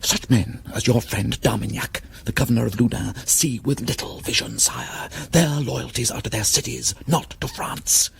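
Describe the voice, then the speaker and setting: A British man playing an insinuating, scheming counsellor to a king in a radio drama, with a gravelly, husky voice. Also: medium pitch, fairly even intonation, normal speed, hardly any pauses, heavily acted.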